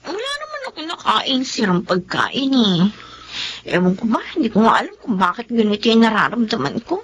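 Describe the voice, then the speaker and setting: A high, plaintive Filipino little sister. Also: female voice, huge pitch swings, fairly quick, a bit bright, husky, exaggerated voice. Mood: pained, plaintive. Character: Eileen, ailing, considerate younger sister. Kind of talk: Filipino radio drama acting.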